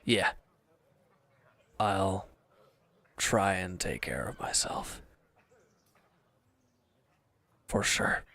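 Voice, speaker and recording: A man playing a wounded, proud villain in an intimate audio drama, sullen and guarded, curt and halting in a breathy murmur. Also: sits low, expressive, sharp tone, heavily acted. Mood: awkward, guarded.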